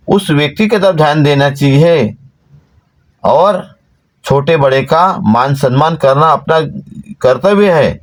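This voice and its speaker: A man, giving an earnest, exhorting devotional talk to followers from inside a parked van. His pitch rises when he stresses a point. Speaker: devotional channel host.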